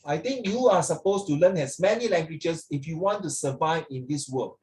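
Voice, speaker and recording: Higher-voiced Singaporean man teaching children. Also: moderate pace, a little mellow. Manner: repeats checking tags like "right" and "okay". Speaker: English teacher. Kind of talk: online lesson to children, explaining over a video. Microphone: video call.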